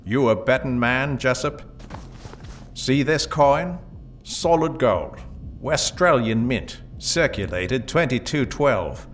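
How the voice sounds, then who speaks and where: A laconic gunslinger for hire in a sci-fi audio drama. He takes his time over every phrase and speaks with old-fashioned gentlemanly formality. Music plays clearly behind him. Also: medium pitch, lively intonation, takes it slowly. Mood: cool, menacing. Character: laconic, courtly hard-drinking gunslinger mercenary.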